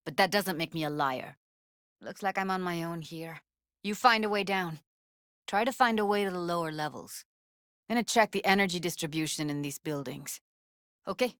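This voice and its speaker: A tough young cyberpunk techie played by a woman, with a slightly low voice in a dry, close recording. She talks in clipped street slang and swears freely, blunt on the surface and tender underneath, in a naturalistic video game style. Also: fairly bright tone, dry recording, lightly acted. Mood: urgent, focused.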